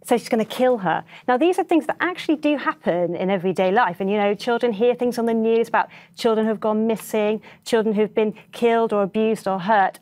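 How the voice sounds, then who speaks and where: A woman's voice: a little high, darkish tone. A woman speaking as an interview guest in a studio, explaining things earnestly and conversationally. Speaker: children's author, interview guest.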